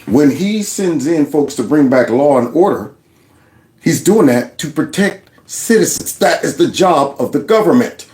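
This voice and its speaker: A man hosting reaction commentary in an animated way, often repeating words. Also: fairly high pitch, measured pace, roomy sound. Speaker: political commentary host.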